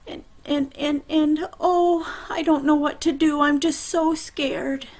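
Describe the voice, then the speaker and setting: A woman's voice: sits high, measured pace, a little mellow, voice pushed up. A woman voicing a frightened young man, a runaway who pleads in a trembling voice. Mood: scared.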